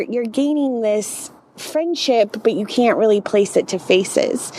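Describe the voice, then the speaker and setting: A woman with a soft, girlish voice, talking casually as a podcast guest and filling her speech with "like". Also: on the high side, a little hiss or hum. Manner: soft, girlish voice with frequent laughs and fillers. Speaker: guest healer.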